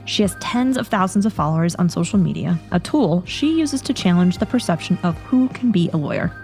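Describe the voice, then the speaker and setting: A woman's voice: fairly low pitch, lively intonation, a little fast, vocal fry, a little mellow, faint music behind. Upbeat woman podcast host. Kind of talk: scripted intro then interview questions.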